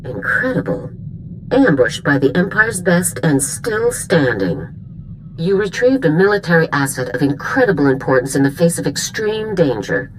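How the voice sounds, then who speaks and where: A woman's voice: sits low, sing-song, normal speed, a little hiss or hum, low-quality recording. An actress playing a stern female military commander in a video game, with a formal, clipped briefing delivery. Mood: approving. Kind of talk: scripted video game cutscene acting.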